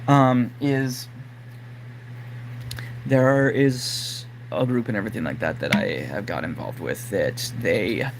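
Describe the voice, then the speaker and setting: A man whose voice is going hoarse, talking casually and rambling to his live-stream audience with plenty of fillers. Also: on the low side, slow, slight background noise, poor audio. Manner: rambling, lots of fillers like "like" and "you know". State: losing his voice, throat-clearing. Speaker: livestream host.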